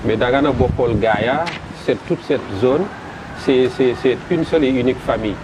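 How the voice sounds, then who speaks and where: A man with a Senegalese French accent, a festival promoter describing his event in an interview, halting and repeating words as he goes. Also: mid-range voice. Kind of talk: interview answers. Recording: noisy background.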